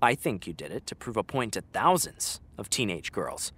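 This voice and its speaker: A man's voice: lowish voice, sing-song, normal speed, a bit bright, slightly rough, exaggerated voice. An actor playing a frank, exasperated young man talking to his best friend in a naturalistic, conversational audio drama style. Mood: teasing, hesitant.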